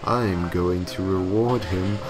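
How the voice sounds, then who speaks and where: A man voicing the devil as a pompous, sadistic demon villain, proclaiming slowly and theatrically in a creepypasta story. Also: low voice, over music. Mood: disgusted, gloating. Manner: slow, drawn-out, theatrical proclaiming.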